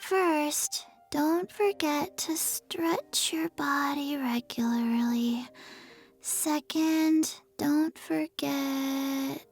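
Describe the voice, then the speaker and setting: Tiny zombie girl, high voice, extremely slow, halting, deadpan, forgetful, game character. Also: faint music behind, full character voice. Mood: earnest, forgetful. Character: little zombie girl, forgetful, solemnly instructing. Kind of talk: video game voice acting.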